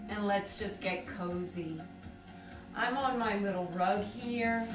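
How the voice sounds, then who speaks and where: A woman leading a guided meditation with slow, deliberate, soothing phrasing and pauses. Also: medium pitch. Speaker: group exercise coordinator leading guided meditation. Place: indoors on a rug by firelight. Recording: roomy, distant mic, music behind.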